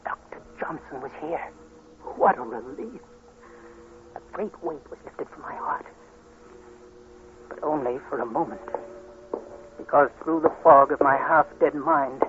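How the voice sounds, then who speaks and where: A man's voice: on the high side, measured pace, pauses often, lightly acted. An actor playing an anguished young male patient, speaking with dramatic intensity in 1940s radio drama style. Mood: dread. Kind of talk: 1940s radio acting, first-person narration and dialogue. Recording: noisy, muffled 1940s radio recording.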